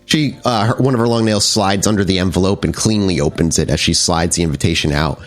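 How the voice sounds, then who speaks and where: A man's voice: sits low, fast talker, soft background music. A man working as game master, improvising descriptive, conversational narration for a tabletop roleplaying game.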